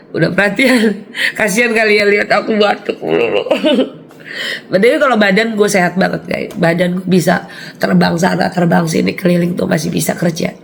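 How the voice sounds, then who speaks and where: A woman in her forties, hoarse and raspy from a cold, chatting casually to her viewers and laughing often. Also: medium pitch, slight background noise. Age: 40s. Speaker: vlogger.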